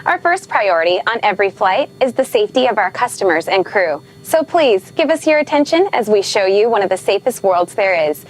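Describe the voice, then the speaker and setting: A woman's voice: medium pitch, big pitch swings, fairly quick, few pauses, soft background music, rough recording. A woman presenting an airline safety video with a scripted welcome.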